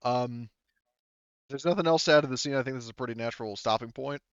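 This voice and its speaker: The man running an online tabletop game night, smooth and swearing casually over slightly dull chat audio. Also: medium pitch, quick pace, dry recording. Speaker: game master. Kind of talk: casual table talk wrapping up a roleplaying session.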